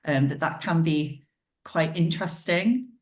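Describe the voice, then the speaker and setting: A woman's voice: low voice, a little slow. A woman speaking as a webinar panellist, in a chatty, conversational way, over a video call. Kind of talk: panel discussion.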